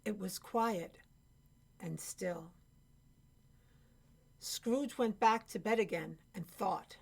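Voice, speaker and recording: A woman's voice: medium pitch, gravelly, darkish tone, slightly rough. A woman reading scripted narration for a radio play.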